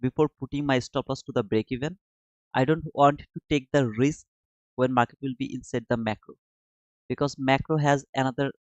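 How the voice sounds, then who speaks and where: A man's voice: mid-range voice, fairly even intonation, moderate pace, no room sound. A man from Bangladesh commenting casually on his live trading chart and chatting with viewers in the chat. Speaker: trading streamer. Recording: dull lo-fi dry sound.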